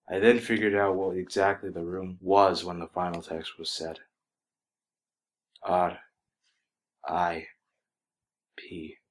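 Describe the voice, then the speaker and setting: A man reading a scripted horror story as its narrator, in an ominous, dramatic manner that can drop to a hush. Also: sits low.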